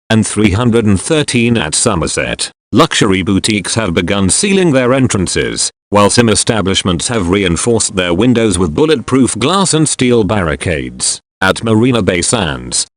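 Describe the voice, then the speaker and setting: A low-voiced, grave government alert announcer played by a man delivers a dystopian emergency broadcast. The delivery is formal and measured, yet the pitch moves noticeably through each phrase. The sound is dry and close, with no room around it. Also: no room sound. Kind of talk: scripted emergency broadcast narration.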